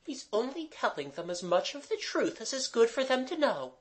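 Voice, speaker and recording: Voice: medium pitch, sing-song, normal speed, voice pushed up, natural voice. A narrator voicing a plucky, demanding young girl in an emphatic storybook manner. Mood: stout, retorting. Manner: emphatic, retorting.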